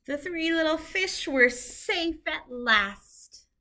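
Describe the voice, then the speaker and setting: A woman teacher reading a children's picture book aloud in an expressive storytelling manner. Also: sits high, slow.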